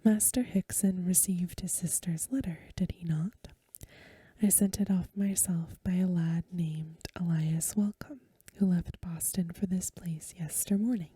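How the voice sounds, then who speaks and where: A woman's voice: lowish voice, mellow, dry recording. A woman voicing a stern, cold, godly Puritan mistress of the house, whispering in severe, archaic, formal words as in a storybook reading. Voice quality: whispered, breathy. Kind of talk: soft-spoken storybook reading.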